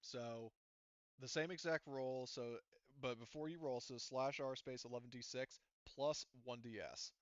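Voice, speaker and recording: A man acting as game master in casual tabletop play, haltingly thinking aloud as he explains rules. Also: mid-range voice, smooth, dry recording, dull audio.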